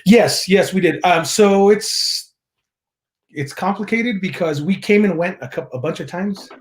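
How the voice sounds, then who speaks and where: A man's voice: high voice, unhurried. A man from Mexico who leads a church's contemporary worship, chatting easily as an interview guest, laughing often and restarting his sentences. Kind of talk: casual interview chat.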